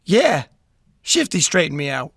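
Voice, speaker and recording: Man playing a high-voiced gangster henchman. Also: big pitch swings, unhurried, creaky, a bit bright, husky, no room sound, clean studio sound, exaggerated voice. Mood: matter-of-fact. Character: blunt gangster henchman loyal to his boss. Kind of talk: hardboiled gangster audio drama acting.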